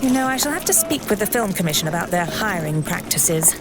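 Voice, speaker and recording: A woman's voice: fairly low pitch, expressive, a little fast, very noisy, close to an everyday voice. A woman playing a prim, puzzled film studio guide in an audio drama, polite and dryly formal.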